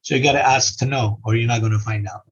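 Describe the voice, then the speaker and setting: A man's voice: medium pitch, fairly even intonation, fast talker, breathy edge. A middle-aged man from Lebanon, earnestly giving life advice as a podcast guest. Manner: earnest, repeats simple advice. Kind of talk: casual advice in an interview. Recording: muffled lo-fi recording.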